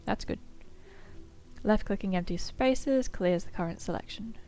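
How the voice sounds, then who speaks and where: Woman let's-play host, mid-pitched, nasal from a cold, casual and cheerful, soft music underneath. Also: a little slow, smooth. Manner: chatty, upbeat, muses aloud with fillers. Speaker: gameplay streamer. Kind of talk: casual let's-play commentary, reading tutorial text aloud.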